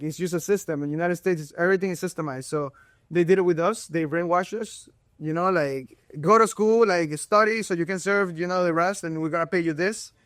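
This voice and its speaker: Slangy young male host, fairly high. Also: no echo, studio quality. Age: young adult. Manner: casual and slangy. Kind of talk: casual interview talk.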